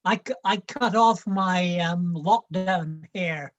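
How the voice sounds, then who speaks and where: A man's voice: sits high, slow, fairly bright tone, lo-fi sound, soft background music. An older man with a Scottish accent, chatting and telling stories with a ready laugh. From Scotland. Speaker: reading group member. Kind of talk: casual chat.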